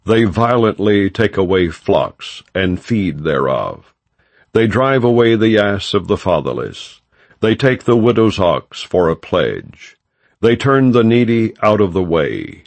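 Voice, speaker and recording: A man with a low voice reads scripture as an audiobook narrator. His delivery is solemn, formal and unhurried. The recording is close and dry. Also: very animated, creaky, no room sound.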